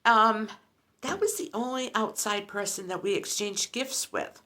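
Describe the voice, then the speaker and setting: A woman's voice: medium pitch, unhurried, bright, forceful tone. A woman in her sixties, a lifestyle vlogger, chatting in a rambling, storytelling way. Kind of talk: casual chat.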